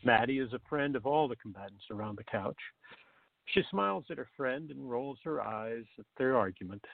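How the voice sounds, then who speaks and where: A man narrates a farcical radio play from a script. His voice is gravelly and creaky at a middling pitch, and the sound is dry, thin and phone-like. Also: animated, moderate pace, no echo. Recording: tinny, narrow phone-like sound.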